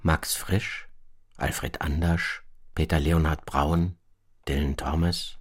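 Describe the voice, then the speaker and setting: A man reading scripted radio documentary narration in a measured, matter-of-fact manner. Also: extremely low pitch, fairly even intonation, slightly rough. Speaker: narrator, presenter.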